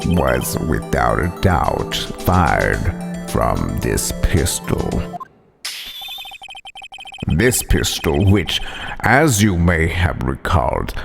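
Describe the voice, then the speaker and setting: A stern, sneering veteran prosecutor in a courtroom drama game. The voice is deep and creaky, delivered slowly with smug condescension. Music plays clearly behind. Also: male voice, exaggerated voice. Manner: slow, deliberate, condescending delivery.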